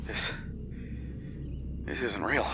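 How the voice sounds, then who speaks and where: An actor playing a frightened, confused male prisoner in a horror audio drama, halting and stammering as he speaks. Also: fairly low pitch, background noise. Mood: confused, distressed.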